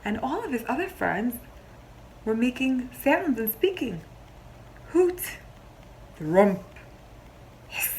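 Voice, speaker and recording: A woman teacher reading a picture book aloud to young children, expressively, pausing to explain simply and ask questions. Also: on the high side, a little hiss or hum, low-quality recording. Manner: expressive storybook delivery, explains simply, pauses to question listeners.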